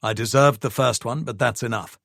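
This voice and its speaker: A male narrator gives us a controlling man who talks low and steady, pressing his case with firm insistence. The voice stays close to the narrator's own, in a middle register, and the recording is dry and close. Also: brisk, vocal fry, no echo. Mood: pleading. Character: controlling man, defensive and coldly persuasive. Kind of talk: audiobook dialogue.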